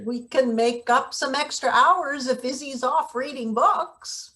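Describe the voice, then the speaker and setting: A woman playing a sardonic, deadpan maintenance boss in a table read of a satirical play. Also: high voice, unhurried. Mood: dry, blunt. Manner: deadpan, blunt. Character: gruff, sardonic female maintenance worker boss.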